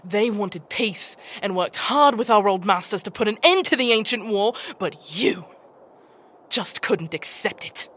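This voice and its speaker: Woman playing a brash, sharp-voiced demon chieftain. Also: medium pitch, animated, unhurried. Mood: grave, accusing. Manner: mocking, swaggering, coarse. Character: brash, taunting female demon chieftain, fierce war leader. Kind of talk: fantasy audio drama acting.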